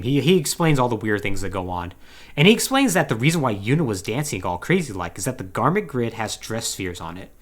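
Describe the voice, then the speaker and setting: A man with a mid-pitched, very lively voice tells a story as a podcast co-host. His delivery is casual and emphatic, swinging up and down as he stresses points and drops in wry asides. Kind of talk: casual comedic plot recap, conversational.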